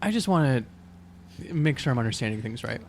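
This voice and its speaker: A man chats and improvises as a player in a tabletop roleplaying game. His delivery is casual and halting, at a medium pitch, with faint noise behind him. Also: animated. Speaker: player in an actual-play podcast.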